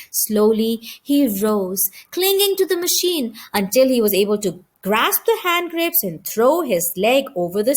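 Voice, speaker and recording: Female storyteller for a children's audiobook: high voice, very lively intonation, dramatic emphasis. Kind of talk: expressive children's story reading.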